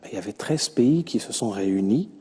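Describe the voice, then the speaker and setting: A man with a Congolese accent answering interview questions about himself in an earnest, measured way, with hesitations. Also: fairly low pitch, expressive, mellow, breathy edge. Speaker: musician being interviewed. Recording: long reverberant hall-like echo.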